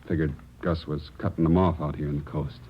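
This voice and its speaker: A man's voice: extremely low pitch, fairly even intonation, brisk. A man acting a cool, guarded underworld figure in an old radio crime drama, with clipped, evasive delivery. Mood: guarded, matter-of-fact. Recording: dull, noisy old radio recording.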